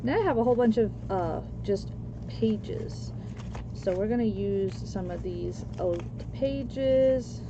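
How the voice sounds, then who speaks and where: Casual craft host, woman in her 40s from Costa Rica, unhurried, slightly muffled sound with steady background noise. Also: mid-range voice. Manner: slow, chatty, answers chat comments while working. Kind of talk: casual crafting chat with viewers.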